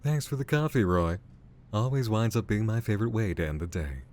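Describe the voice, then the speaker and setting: A man as a friendly low-voiced cafe regular. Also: lively intonation, brisk, darkish tone, slight background noise, natural voice. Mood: friendly, appreciative. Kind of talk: character acting in an audio roleplay.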